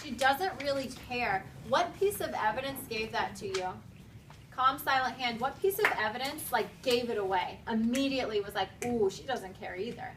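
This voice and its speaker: A woman's voice: fairly high pitch, normal speed, recorded from a distance. A woman teaching a class, giving clipped instructions and reading aloud expressively. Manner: directive, clipped commands alternating with expressive reading. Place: school classroom with pupils. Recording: noisy classroom, voice off the mic.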